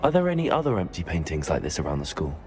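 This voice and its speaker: A man's voice: sits low, huge pitch swings, fast talker, over music. An actor playing an earnest, polite young male student of magic in a video game, speaking. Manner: polite, earnest, a little guarded.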